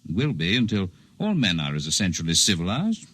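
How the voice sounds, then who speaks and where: Lively-pitched, deep, erudite alien healer. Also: male voice, fairly quick, breathy edge, dry recording, exaggerated voice. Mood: courteous, scholarly. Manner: formal, precise, scholarly phrasing. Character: calm, erudite alien healer studying humanity, long-lived, gently condescending. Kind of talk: 1950s radio drama acting. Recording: 1950s radio broadcast recording.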